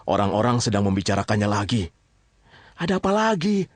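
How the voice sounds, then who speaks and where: A man's voice: lowish voice, sing-song, fairly quick. A man with an Indonesian accent telling a story informally, often checking in with his listeners. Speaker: storyteller narrator addressing listeners.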